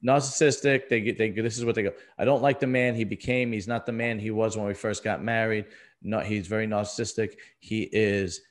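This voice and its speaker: A man's voice: on the low side, fairly even intonation, brisk. A middle-aged man talking casually as a podcast host, blunt and emphatic, often repeating himself for stress. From US East Coast. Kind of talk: casual conversational podcast talk.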